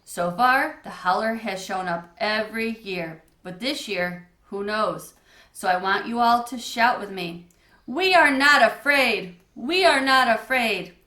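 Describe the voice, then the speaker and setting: A woman's voice: on the high side, a little slow, pushed and bright. Woman as a grave camp director, roomy sound. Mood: dramatic. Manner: serious, declaiming to campers. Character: grave male camp director telling a spooky campfire legend.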